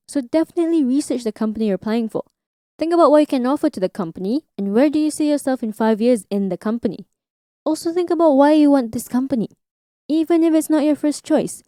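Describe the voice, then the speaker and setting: A woman's voice: fairly high pitch, warm tone, no room sound, studio quality. A woman presenting a scripted educational podcast as host and language teacher.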